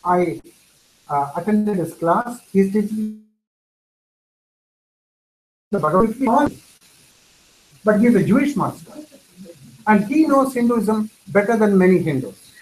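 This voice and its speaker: A man's voice: high voice, lively intonation, measured pace, pauses often, a little mellow, low-quality recording. A man whose first language is Tamil, lecturing earnestly to an audience and repeating phrases for emphasis. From India. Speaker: speaker. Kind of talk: lecture answering questions.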